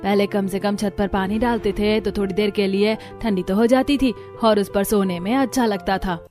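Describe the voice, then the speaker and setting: A woman's voice: medium pitch, steady tone, normal speed, darkish tone, soft background music, lightly acted. A woman playing a weary village daughter-in-law in a cartoon story, lamenting earnestly. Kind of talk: Hindi cartoon story dialogue.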